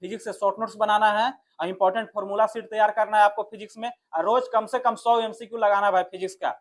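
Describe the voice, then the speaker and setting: High-pitched man with a Bihar accent. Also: fairly even intonation, quick pace. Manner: addresses listeners familiarly, asks and answers own questions. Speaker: exam coach. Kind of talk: informal exam strategy lesson.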